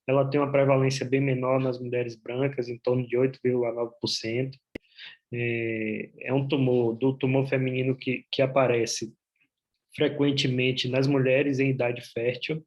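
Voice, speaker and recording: A man from Bahia, a gynecologist with a Bahian accent, giving an explanatory talk over a video call, often pausing on "é". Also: medium pitch, flat delivery, lo-fi sound. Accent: Bahian Portuguese. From Bahia, Brazil. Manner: explanatory, with frequent "é" fillers. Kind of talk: online medical presentation.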